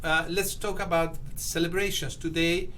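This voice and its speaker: Bright, halting radio co-host. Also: medium pitch, steady tone, a little hiss or hum. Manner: halting, restarts a lot, bursts into loud laughter. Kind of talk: casual radio chat.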